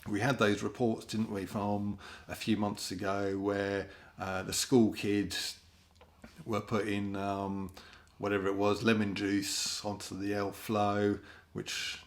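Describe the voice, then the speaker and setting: A man's voice: lowish voice, monotone, takes it slowly. A British man giving a casual, rambling spoken commentary in a sardonic manner. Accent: British English. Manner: rambling, sardonic, often mimics other people in put-on voices. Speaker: host of an opinion monologue.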